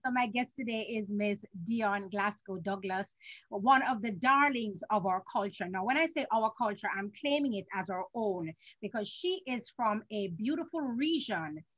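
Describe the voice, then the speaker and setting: A woman's voice: a little high, a bit bright, lo-fi sound. A Jamaican woman hosting a culture show, speaking to her audience in an exuberant, theatrical way with sing-song emphasis. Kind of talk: TV presenting to audience.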